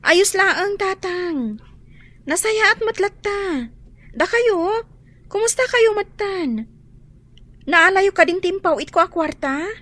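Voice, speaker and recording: A woman's voice: extremely high pitch, expressive, slow pace, pauses often, a bit bright, no room sound, heavily acted. An actress playing a sweet, dutiful young working woman in a radio drama. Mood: warm, dutiful. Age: young adult. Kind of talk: Ilocano radio drama acting.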